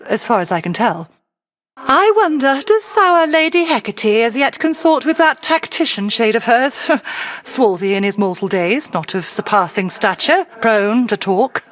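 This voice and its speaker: Casting: dreamy island witch for a game, high voice with swooping melody, doting and genteel. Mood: musing, wry. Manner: unhurried, lilting, old-fashioned genteel phrasing. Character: whimsical sorceress aunt living alone on her isle, doting, dreamy. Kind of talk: theatrical video game character acting.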